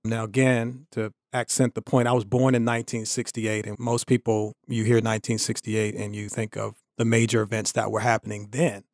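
A man in his fifties from the United States talks through his life in a measured, reflective way. His pitch stays even and level. The voice sounds close and dry, with no room around it. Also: no room sound. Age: 50s. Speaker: podcast guest. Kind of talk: casual chat, personal story.

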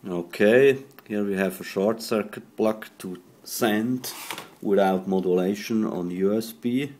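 A non-native English-speaking man giving an improvised hands-on electronics tutorial at a workbench, talking haltingly in short phrases. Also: on the low side, darkish tone. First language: not English. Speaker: electronics hobbyist demonstrating a radio modification. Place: indoors at a workbench.

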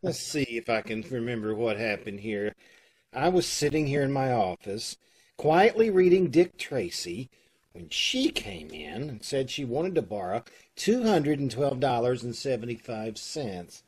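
A man playing a husky, flustered loan officer. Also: mid-range voice, big pitch swings, measured pace, darkish tone. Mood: bewildered. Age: middle-aged. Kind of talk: old-time radio comedy acting. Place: indoors, on a video call. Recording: home video-call recording.

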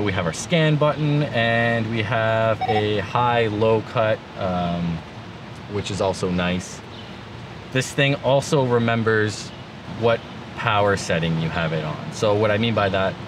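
A man in his thirties giving a casual, laid-back but enthusiastic product walkthrough in colloquial language, as a gear vlogger. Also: fairly low pitch. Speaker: vlogger demonstrating vehicle gear. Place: inside a parked jeep with open door, outdoors. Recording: clear background noise, vehicle ambience.

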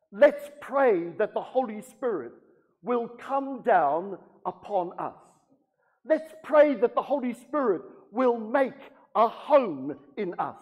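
Priest, male, unhurried homily delivery in a reverberant church. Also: sits high, slightly rough, lo-fi sound. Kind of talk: homily to a congregation. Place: church sanctuary.

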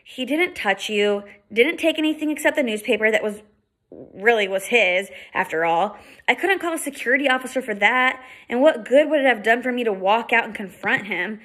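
A woman reads a level-headed schoolboy who talks things through in a patient, reasonable way. The voice is fairly high and bright. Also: expressive, moderate pace, breathy edge. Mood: reasoning. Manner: reasonable, explaining.

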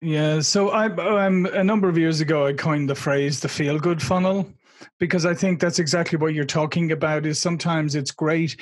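A man's voice: a little high, steady tone, moderate pace, no echo. A middle-aged man with an Irish accent, hosting a casual video interview and laughing easily, on a video call from a room with a logo backdrop. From Ireland. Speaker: interview host.

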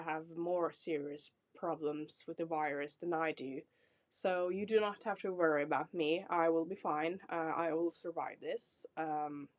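Norwegian woman, 20s, Norwegian accent, low and slow, casual vlog chat. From Norway. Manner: chatty, apologetic, reassuring, laughs often. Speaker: vlogger. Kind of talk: casual self-introduction to camera. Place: small decorated room indoors.

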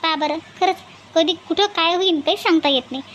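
Woman as a very high-voiced, kindly cartoon village mother. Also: fairly quick, a bit bright, muffled recording, slight background noise. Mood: happy, teasing. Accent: Varhadi Marathi. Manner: earnest, chatty, persuasive. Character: kindly, bustling, reassuring middle-aged village mother. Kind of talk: amateur cartoon comedy dialogue.

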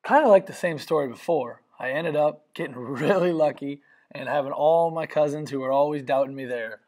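A man's voice: fairly high pitch, fairly quick, darkish tone. A young man telling a personal story as a voice-over, in an earnest, reflective way. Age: young adult. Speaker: narrator of a family video.

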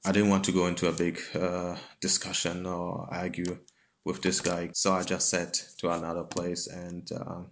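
Man, deep voice, personal account, faint-to-moderate noise behind. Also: monotone, normal speed. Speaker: interviewee. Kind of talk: personal testimony.

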